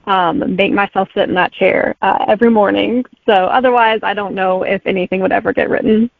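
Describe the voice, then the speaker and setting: A woman author as a guest, speaking in a relaxed, friendly way and laughing easily. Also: mid-range voice, brisk, gravelly, dry recording. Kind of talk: casual interview chat. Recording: dry, tinny phone-like line.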